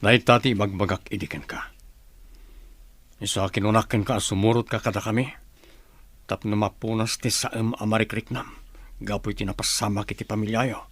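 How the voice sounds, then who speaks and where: A man playing a calm, calculating leader of a shady group in a radio drama, with an Ilocano accent. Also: fairly low pitch, normal speed, pauses often, dry recording. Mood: persuasive.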